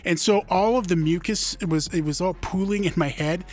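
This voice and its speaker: A hoarse male radio host in a rambling, casual chat, full of fillers and asides. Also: sits high, normal speed, soft background music. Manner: rambling, filler-heavy, self-deprecating.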